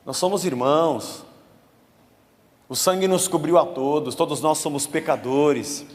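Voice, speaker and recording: A man's voice: fairly high pitch, a little slow. A Brazilian man, a pastor, delivering a conversational sermon in a church, mixing Scripture with casual slang. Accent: Brazilian Portuguese. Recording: long church reverb.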